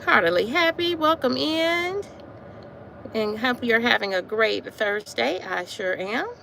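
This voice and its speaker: A woman in her forties chatting casually on a livestream while out walking, repeating herself warmly and calling her viewers y'all. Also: a little high, expressive, a little slow, gravelly. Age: 40s. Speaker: livestream host. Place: outdoors on a walk, wind noise. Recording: faint wind noise.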